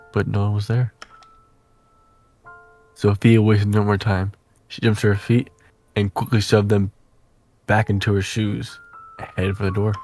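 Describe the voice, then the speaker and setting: A man reading a visual novel aloud as dramatic narration, with deliberate, suspenseful phrasing. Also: lowish voice, a little mellow.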